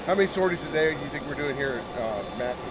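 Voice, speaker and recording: A man's voice: on the high side, lively intonation, very fast. A man doing a casual on-location interview, chatty and stopping to explain jargon to listeners. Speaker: interviewer and pilot. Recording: loud aircraft noise behind. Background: airplane and jet engine noise.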